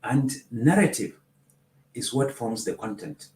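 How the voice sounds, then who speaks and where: A man, a film producer and festival organizer, explaining his points in a measured way with hesitant pauses. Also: mid-range voice, expressive, a little mellow, reverberant. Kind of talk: interview answers.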